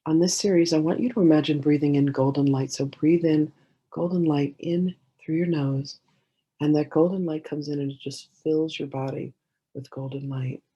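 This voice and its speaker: A woman leading a guided meditation in a gentle, soothing way, giving slow instructions with many pauses. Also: low voice, fairly even intonation, husky, muffled recording.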